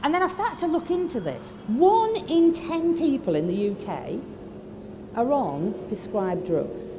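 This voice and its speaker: A woman in her fifties with a Yorkshire accent, giving a blunt, lively motivational talk to a live audience. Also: sits high, a little slow, noisy background. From Lancashire, England. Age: 50s. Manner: lively, blunt and swearing, asks the crowd questions. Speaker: motivational speaker. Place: expo stage before a live audience.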